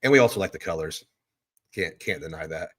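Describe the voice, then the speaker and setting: A man's voice: low voice, lively intonation, brisk, a bit bright, breathy edge. A man hosting a live stream, chatting loosely and answering viewer questions in a rambling, easygoing way. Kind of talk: casual live stream chat. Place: hotel room.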